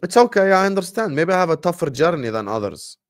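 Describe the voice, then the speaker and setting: A man from Jordan with an Arabic accent, a native Arabic speaker hosting a livestream watchalong in casual, animated chat, giving shout-outs to his viewers. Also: on the high side, fast talker. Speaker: livestream host.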